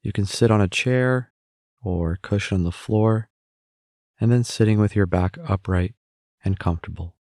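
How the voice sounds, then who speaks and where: A man leading a guided meditation as the guide, speaking in a calm, gentle, instructing manner with pauses. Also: on the low side, no echo, clean studio sound.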